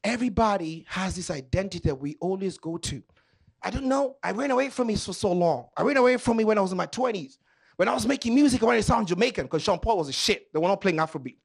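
Stand-up comic from Kenya: high, breathy-edged voice, lively swings, loud crowd work. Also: male voice, fast talker. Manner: loud, animated, playful with the crowd. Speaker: stand-up comedian. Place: comedy club stage.

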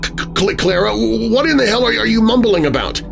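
A man voicing an older farmer, gruff and frightened, stammering and growling through his pain in a horror story. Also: sits high, sing-song, moderate pace, music in the background, voice pushed up, full character voice. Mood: alarmed, bewildered. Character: agonized older rural farmer father, gruff, frightened, angry.

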